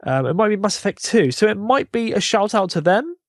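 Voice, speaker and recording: A man's voice: high voice, sing-song, brisk, dry recording, studio quality. A man with a British accent, chatting casually as a co-host on a gaming podcast. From United Kingdom. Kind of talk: casual chat.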